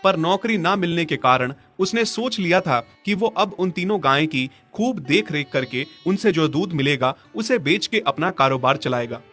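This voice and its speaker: Slightly high-voiced male storyteller. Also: lively intonation, moderate pace, few pauses, a bit bright. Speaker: narrator. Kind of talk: scripted story narration. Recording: music behind the voice.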